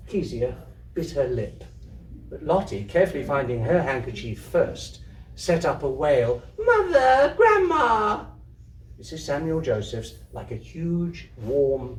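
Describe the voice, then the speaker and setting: A man giving an expressive live reading of literary fiction. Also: on the high side. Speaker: reader, amateur theatre troupe member. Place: indoor community hub event. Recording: roomy, distant mic, faint noise.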